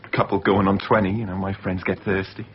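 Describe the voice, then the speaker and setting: A man's voice: low voice, level tone, fast, natural voice. An actor playing an insinuating man who casually needles and probes, in naturalistic radio drama style. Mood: offhand, evasive. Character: Jon, insinuating, film-obsessed man steering every conversation. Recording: muffled, noisy off-air radio recording.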